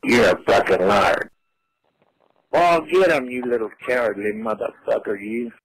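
Foul-mouthed man with a gravelly, swooping voice. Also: mid-range voice, animated, a little slow, no echo, low-quality recording. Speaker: prank caller played from a soundboard. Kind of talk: crude phone chat. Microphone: telephone.

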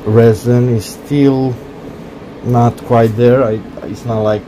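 A man casually walking through his home-built cockpit project, talking haltingly with many fillers. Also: medium pitch, steady tone, background noise. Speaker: hobbyist cockpit builder. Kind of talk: casual build walkthrough. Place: workshop.

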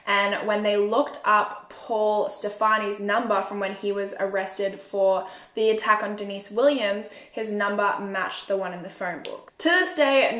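A woman's voice: mid-range voice, a bit bright. A woman in her twenties with an Australian accent, telling a true crime story conversationally as a video host. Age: 20s. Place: small room at home, indoors. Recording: roomy indoor echo.

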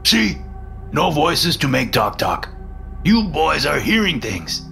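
A man's voice: a little high, very animated, slow, gravelly, sharp tone, pitched above the performer's own voice, exaggerated voice. A man voicing an eccentric, over-friendly landlord with a foreign accent and broken phrasing, in a told story, with music behind. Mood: dismissive, insistent. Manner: jovial, intrusive, broken phrasing. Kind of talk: storytelling character voice.

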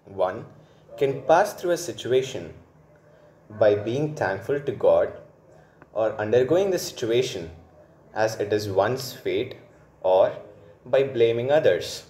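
A man narrating a scripted moral story in a simple, deliberate, instructive manner. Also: on the low side, lively intonation, warm tone. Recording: roomy, echoing voice recording.

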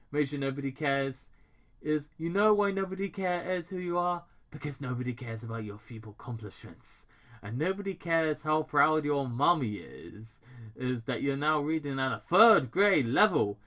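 A man's voice: a little high, big pitch swings, moderate pace, a bit bright, pitched above the performer's own voice. A man voicing an arrogant, mocking mad-scientist doctor in a fan fiction reading, theatrical and condescending. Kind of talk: fan fiction read-aloud in character.

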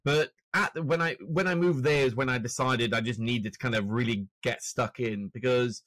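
A man's voice: medium pitch, steady tone, moderate pace, a bit bright, no echo. A man in his forties from Norway who speaks with a British accent, chatting as a guest on a video-call podcast, self-deprecating and full of restarts. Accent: British English. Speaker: guest author and finance journalist. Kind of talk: conversational interview. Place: home room with shelves, indoors. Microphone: podcast microphone on stand, video call.

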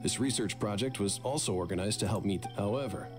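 Man playing a formal, low-voiced research official. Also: natural voice. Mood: formal, businesslike. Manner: measured, formal, explanatory. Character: courteous formal male research official briefing travelers. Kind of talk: scripted video game dialogue. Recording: game audio with music behind.

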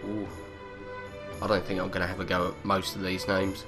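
A man's voice: sits low, monotone, moderate pace. Jokey man narrating gameplay. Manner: jokey, chuckling asides. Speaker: let's-play host. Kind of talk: casual gameplay commentary. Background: game music.

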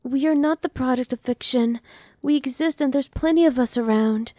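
A woman playing a gentle, reassuring young vampire. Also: sits high, no room sound, lightly acted. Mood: soothing. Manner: soft, slow and reassuring, dropping to whispers. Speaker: voice actress. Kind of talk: ASMR roleplay.

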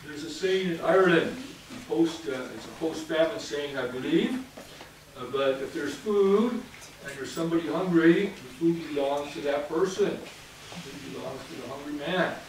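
A priest in his fifties delivers a sermon in a fairly high male voice. He proceeds haltingly, pausing and circling back over his phrases. The sound is very echoey and picked up from a distance, with clear noise around it. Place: indoors at a church pulpit or lectern, reverberant.

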